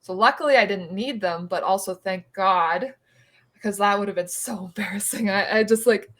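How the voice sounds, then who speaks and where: Woman on a podcast rambling thru a story, lots of likes, mid pitch. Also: normal speed, a bit bright. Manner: chatty, lots of "like" fillers. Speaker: podcast co-host. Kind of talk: casual storytelling chat.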